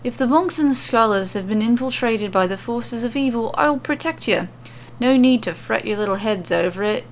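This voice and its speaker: A woman acts out a conceited, world-weary warrior woman from a fantasy story. Her voice is fairly high with plenty of fry, dispassionate even as she brags. Some noise sits behind the recording. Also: moderate pace, poor audio. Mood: bored, cocky. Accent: attempted Australian. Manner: boastful, dispassionate. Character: cocky, dismissive, world-weary female redhead fighting champion.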